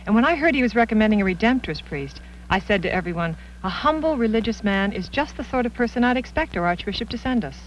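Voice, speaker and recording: Woman acting proper devout society wife, high quick voice, bit distant from mic, drama interview. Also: lively intonation, a little hiss or hum, close to an everyday voice. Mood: pleased, devout. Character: genteel, devout professor's wife. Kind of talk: acted documentary-style interview.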